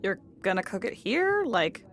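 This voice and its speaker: An actress playing a practical, skeptical account director in a comedy audio drama, dry and matter-of-fact. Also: female voice, medium pitch, very animated, unhurried, vocal fry, fairly bright tone, a little hiss or hum, full character voice.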